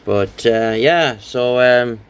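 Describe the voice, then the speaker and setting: A man's voice: medium pitch, slow pace, clean tone. A man giving a casual, rambling, understated hands-on show-and-tell as a hobbyist, with some hesitation. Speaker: radio hobbyist presenting a rebuilt CB radio.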